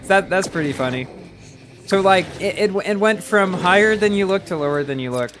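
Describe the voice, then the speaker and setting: A man's voice: high voice, animated, moderate pace, a bit bright, faint music behind. A man in his early twenties chatting casually while livestreaming a game and reading chat, rambling and often repeating words as he restarts. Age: early 20s. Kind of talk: casual live-stream chat.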